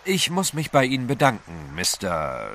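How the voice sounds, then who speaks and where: An actor playing a courteous, composed gentleman adventurer with a wry streak in an audio drama. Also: medium pitch, huge pitch swings, moderate pace. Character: gentleman adventurer, courteous, protective husband or lover. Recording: clear ambient noise behind.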